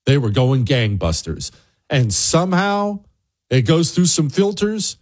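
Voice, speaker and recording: A man's voice: fairly high pitch, lively intonation, measured pace, a little mellow, no echo, studio quality. An American man hosting a talk show, delivering an opinionated political monologue in an emphatic, blunt, sarcastic way with rhetorical questions. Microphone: close studio microphone.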